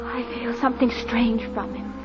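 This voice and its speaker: A woman acting in an old radio drama as a wary, frightened wife, husky and breathy, with hesitant, intense pleading. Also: a little high, level tone, normal speed, lightly acted. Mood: fearful. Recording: dull old radio recording, music behind.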